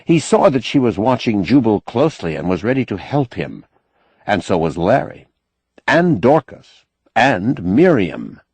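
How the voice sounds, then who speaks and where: A man reading a novel aloud as an audiobook narrator, in an expressive, measured storytelling manner with careful phrasing. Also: lowish voice, husky, no room sound. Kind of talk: scripted narration of a science fiction novel.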